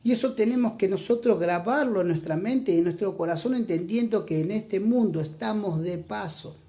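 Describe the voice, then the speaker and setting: A preacher with an Argentine accent, giving an earnest church sermon and reading scripture aloud, slowing down to stress key points. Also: medium pitch, dark tone. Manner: earnest, exhorting, slows down for emphasis.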